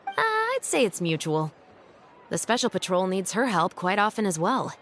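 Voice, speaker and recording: A woman playing a composed, dutiful female patrol captain in a video game, speaking in a measured, dryly wry way. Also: mid-range voice, wildly swooping pitch. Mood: explanatory, calm. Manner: measured, dry, articulate.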